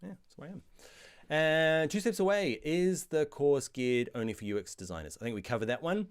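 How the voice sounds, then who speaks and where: A middle-aged man with a New Zealand accent, answering viewer questions in a casual live stream about design software. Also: fairly high pitch, normal speed, clean tone. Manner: chatty, reads viewer questions aloud, animated asides. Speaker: online design course instructor.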